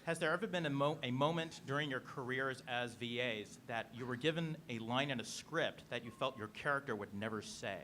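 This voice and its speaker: A man at a convention audience mic, asking an earnest, slightly halting question. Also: mid-range voice, sharp tone, a little hiss or hum.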